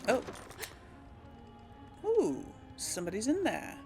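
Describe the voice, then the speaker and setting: A woman's voice: medium pitch, wildly swooping pitch, slow, vocal fry, over music. A woman hosting a casual video game let's play, thinking aloud as she explores, with faint game sound behind her.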